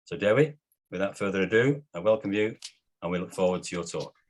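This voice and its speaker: A man hosting a local group meeting, introducing the guest speaker in a measured way with some fillers. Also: low voice, animated. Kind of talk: reading an introduction.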